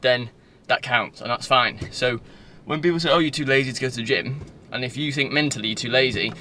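A man's voice: medium pitch, a little fast, pushed and bright. A man in his twenties with a Northern English accent, chatting casually and loosely in a rambling vlog, recorded on a phone at arm's length inside a car. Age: 20s. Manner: informal, loose, digressive. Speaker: vlogger. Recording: faint car-interior background noise.

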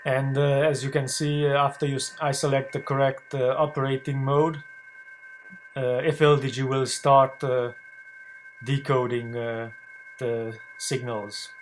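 A man narrates an informal software demonstration for a video blog, speaking at a middling pitch. He explains things step by step in a halting, unscripted way. A noticeable hum and background noise sit under his voice. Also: level tone. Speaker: ham radio video blogger demonstrating software. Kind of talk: unscripted screencast tutorial.

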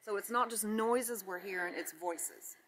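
A woman's voice: medium pitch, unhurried, a bit bright. A middle-aged woman chatting casually on location, speaking in short, blunt, emphatic remarks. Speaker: co-host, nurse. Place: abandoned house and its yard. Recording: noisy handheld camera audio with clicks.

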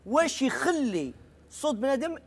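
A man's voice: very high voice, big pitch swings, normal speed, a crowd in the background. A middle-aged man with an Algerian accent, hosting a TV game show on a big studio stage and teasing the contestants.

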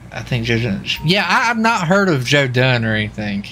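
A man's voice: medium pitch, big pitch swings, normal speed, pushed and bright, soft background music. An American man talking casually in a folksy, slangy way as he streams a police roleplay game.

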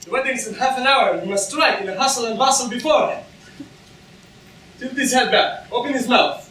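An earnest young swordsman, acted by a young man speaks urgently in a high, bright voice for a stage comedy. The hall echoes around him. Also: moderate pace. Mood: urgent, brisk. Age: young adult. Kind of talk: comic stage reading. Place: lecture hall stage, far camera. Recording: distant echoey hall recording, background noise.

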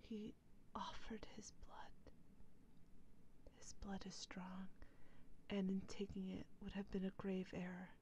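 A woman voicing an anxious, guarded female vampire in a whispered ASMR roleplay, breathy and hesitant. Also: medium pitch, little pitch movement, a little mellow, lo-fi sound, a little hiss or hum. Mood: grave, worried. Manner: breathy, hesitant, slow and halting.

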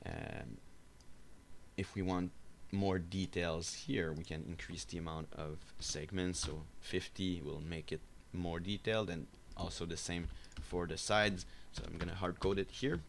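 A man giving an unscripted software screencast tutorial, explaining step by step in a halting, hesitant way. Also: low voice, fairly even intonation, vocal fry, a little hiss or hum. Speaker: tutorial instructor. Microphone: voice-over for a screen recording.

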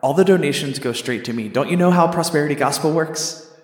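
A man's voice: on the high side, brisk, natural voice. A man playing a petty, sarcastic Star God who grumbles at his angels in a comic radio play. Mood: irritated. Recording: echoey long reverb.

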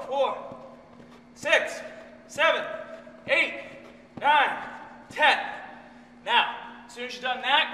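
A man's voice: very high-pitched, pushed and bright, a little hiss or hum. A man leading a workout as a fitness trainer, calling out reps while doing the exercises himself, straining and out of breath. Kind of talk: workout instruction. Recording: echoey room with long reverb.

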